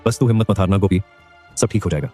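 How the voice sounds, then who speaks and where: A poor young worker pleads and reassures his family in a low, mellow voice, voiced by a man. He talks quickly and earnestly. Soft music plays behind him. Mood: reassuring. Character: poor young laborer, humble and hardworking. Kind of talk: animated story voice acting.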